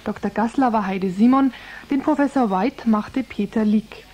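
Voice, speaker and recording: Mellow, higher-voiced woman announcer. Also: moderate pace, highs cut off. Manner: formal, orderly. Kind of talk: scripted radio credits.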